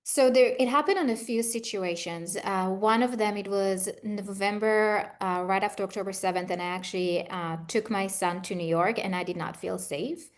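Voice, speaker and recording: A woman's voice: mid-range voice, normal speed, hardly any pauses. Israeli-accented woman explaining in conversation. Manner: explanatory, advising. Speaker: guest expert, trauma therapist. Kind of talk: conversational interview answers.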